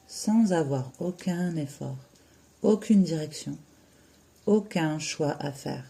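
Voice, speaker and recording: A yoga teacher leads a guided relaxation in a soft-edged, mellow voice. The delivery is slow and soothing, with short phrases set apart by long pauses. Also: mid-range voice.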